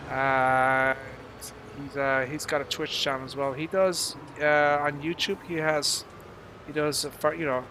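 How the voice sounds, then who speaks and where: A man with a mild Irish accent giving casual live gaming commentary in a halting, rambling, casually sweary way. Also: a little high, noisy background. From Ireland. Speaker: game streamer.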